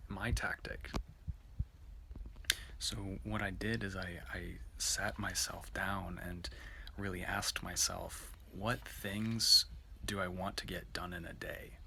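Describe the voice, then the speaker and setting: A man giving an informal personal reflection for worship, halting and hesitant as he searches for words. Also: deep voice, creaky, sharp tone, poor audio. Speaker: worship speaker. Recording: faint background noise.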